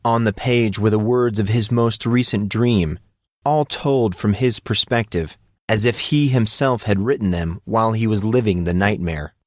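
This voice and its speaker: A man's voice: fairly low pitch, normal speed, dry recording, studio quality. A man narrating a scripted story as an audiobook narrator.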